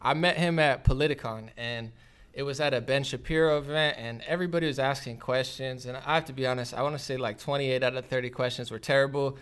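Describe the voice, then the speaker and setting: A man's voice: medium pitch, fairly even intonation, quick pace, a bit bright, smooth. A man hosting a podcast, talking in a casual, conversational interview style. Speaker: podcast host.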